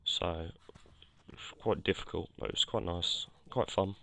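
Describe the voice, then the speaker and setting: Croaky low-voiced man. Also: steady tone, normal speed, bright, forceful tone. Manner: rambling, self-correcting, many fillers. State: croaky from a cold. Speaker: gameplay commentator. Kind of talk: casual game commentary.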